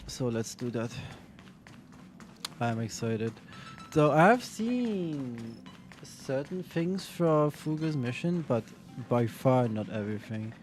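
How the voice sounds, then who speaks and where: A man's voice: medium pitch, big pitch swings, a little mellow, faint music behind. Chatty young man streaming a game. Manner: chatty, rambling, with restarts and quick reactions. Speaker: vtuber streamer. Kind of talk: casual gaming chat and reading dialogue aloud.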